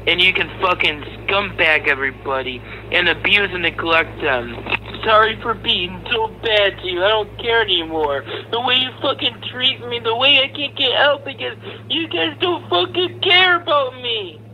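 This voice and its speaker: A man with a high, very forceful voice rants in an aggrieved, sarcastic way. His language is foul and confrontational. There is faint noise on the recording. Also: expressive, moderate pace, poor audio. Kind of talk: angry, profane rant to camera and recorded audio.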